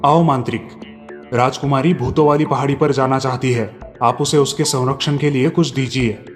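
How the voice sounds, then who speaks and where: A man's voice: mid-range voice, fairly even intonation, normal speed, long reverb tail, faint music behind, close to an everyday voice. A man voicing a cautious, protective king and father in a storybook tale. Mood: commanding. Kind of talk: storybook dialogue.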